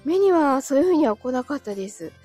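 A woman with a high-pitched voice chats informally to her livestream viewers. She giggles often as she replies to comments, sounding tired and slightly breathless from a recent illness. Soft music plays faintly behind her. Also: sing-song, quick pace. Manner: giggly, often breaking into small laughs. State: recovering from illness, tired and a little short of breath. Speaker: live streamer and voice actor. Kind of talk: casual live-stream chat, answering viewers' comments.

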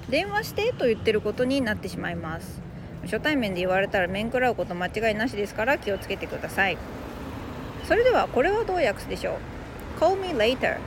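A woman's voice: a little high, noisy background. A woman who is a native Japanese speaker, hosting a language lesson in a conversational, explanatory way that speaks straight to listeners. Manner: explanatory, addresses listeners directly. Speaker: host of an English phrase lesson podcast.

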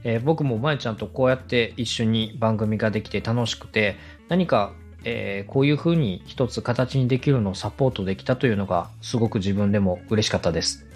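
A man's voice: on the low side, brisk, hardly any pauses. A man hosting a podcast as a coach, speaking in a polite, encouraging manner. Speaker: podcast host and radio director. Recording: music playing behind the voice. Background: background music.

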